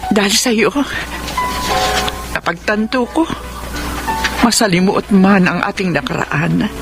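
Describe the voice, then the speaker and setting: A woman's voice: mid-range voice, slow, vocal fry, music in the background. A woman with a Filipino accent playing an emotional older mother in a melodramatic radio drama. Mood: tearful, remorseful. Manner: expressive, melodramatic.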